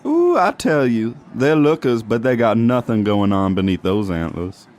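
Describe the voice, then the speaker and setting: Man playing a lively, seedy reindeer crook. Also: mid-range voice, normal speed, a little hiss or hum, lightly acted. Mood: smug, sly. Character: seedy male reindeer ex-con, smug old criminal accomplice. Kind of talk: comedic noir audio drama acting.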